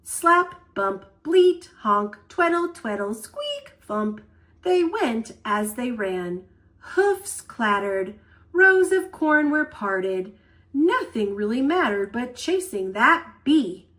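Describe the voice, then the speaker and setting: A woman storyteller reading a children's picture book aloud in an expressive style. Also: fairly high pitch, takes it slowly.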